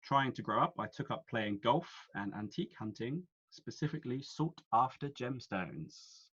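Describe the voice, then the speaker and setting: A man's voice: medium pitch, animated, a bit bright, breathy edge. Man reading quiz clues over lo-fi video call. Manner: reads each clue slowly twice. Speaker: quiz host.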